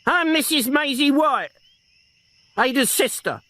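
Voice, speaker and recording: A high, quavering old woman in her seventies, as a male narrator puts her on, fragile and tearful as she defends the nephew she raised. She rises and falls a lot in pitch, pleading for a storybook hearing. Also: lively intonation, unhurried, fairly bright tone, dry recording. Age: 70s. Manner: tremulous, emotional, protective. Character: grieving elderly aunt, frail and tearful.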